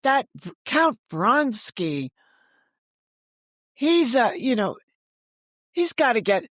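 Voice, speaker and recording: A woman's voice: fairly high pitch, sing-song, takes it slowly, no echo, clean studio sound. An older woman telling stories as an author and interview guest, given to rhetorical questions and acting out dialogue. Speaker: guest, author and former book editor. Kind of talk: animated storytelling in a podcast interview.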